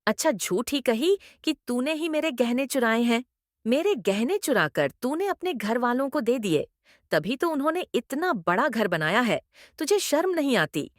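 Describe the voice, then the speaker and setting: A woman acts out a scheming middle-aged mother-in-law from a cartoon. Her voice is fairly high and swings widely in pitch. She is theatrical and domineering, recorded close and dry. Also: very animated, moderate pace, dry recording. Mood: accusing, scolding. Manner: scolding, theatrical, emphatic. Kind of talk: Hindi moral-story cartoon voice acting.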